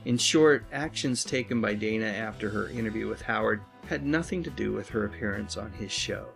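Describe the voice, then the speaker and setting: A man hosting and narrating a scripted video essay, with earnest, dramatic phrasing and drawn-out endings. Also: lowish voice, vocal fry, a bit bright. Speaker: host, pop culture commentator. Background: music bed.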